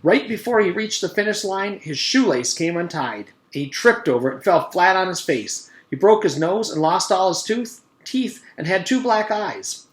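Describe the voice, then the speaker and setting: Man voicing an eager, excitable schoolboy storyteller. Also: high-pitched, normal speed, hardly any pauses, roomy sound, pitched above the performer's own voice. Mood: dramatic, triumphant. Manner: animated, earnest, boastful storytelling with kid slang. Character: eager schoolboy telling an excited, gory tall tale.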